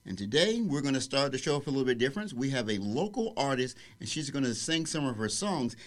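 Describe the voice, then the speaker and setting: Quick-talking Southern radio host. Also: male voice, medium pitch, sing-song. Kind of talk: radio show hosting.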